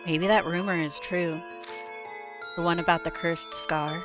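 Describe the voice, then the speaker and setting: A woman's voice: on the low side, steady tone, unhurried, music in the background. A woman streamer reading a story game aloud into a desk microphone at home, chatting casually with lots of "like" and "um" fillers. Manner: casual, soft-spoken, full of "like" and "um" fillers.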